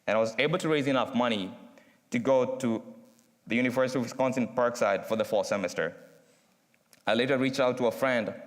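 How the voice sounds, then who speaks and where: A young man from Kenya with a Kenyan accent, giving an earnest, measured student speech with dramatic pauses. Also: medium pitch. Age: young adult. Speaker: student speaker. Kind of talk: prepared speech read aloud. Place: university chapel. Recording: long reverb from a large chapel.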